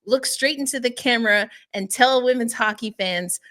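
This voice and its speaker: A woman hosting a hockey podcast, lively and laughing as she asks her guest questions. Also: a little high, normal speed. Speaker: podcast host. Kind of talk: podcast interview chat.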